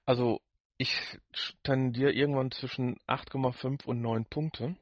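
Man, average pitch, casual podcast chat, halting phrasing, dry recording. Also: fairly even intonation, no room sound. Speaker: podcast co-host reviewing audio dramas.

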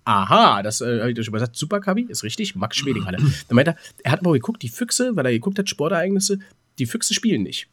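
A man's voice: medium pitch, sing-song, fast, a bit bright, breathy edge, no room sound. A man chatting casually as a podcast co-host, telling an anecdote in a rambling way and acting out remembered dialogue.

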